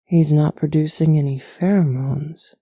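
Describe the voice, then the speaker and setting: Slow, mellow actress as motherly alien matriarch. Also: low-pitched, fairly even intonation, gravelly, no echo, studio quality, exaggerated voice. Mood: calm, tender. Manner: measured, soothing, formal. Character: calm, motherly alien matriarch, gently stern and tender. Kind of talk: soft audio roleplay acting.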